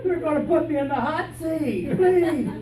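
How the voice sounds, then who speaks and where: A woman's voice: sits high, normal speed, crowd noise, lightly acted. A woman voicing a melodramatic, pleading, wisecracking pet bird in jail, in an old-time radio style play. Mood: frantic pleading. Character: panicky wisecracking jailed pet bird, gangster slang. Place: live rehearsal before an audience. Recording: echoey room, distant mic, audience noise.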